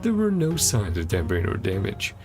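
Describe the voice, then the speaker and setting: A man with a heavily creaky voice streams a game, reading the dialogue aloud. His pitch moves around a lot as he slips between reading and casual muttered commentary. Game music plays behind him. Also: huge pitch swings, a little fast. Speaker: streamer playing and reacting to a game.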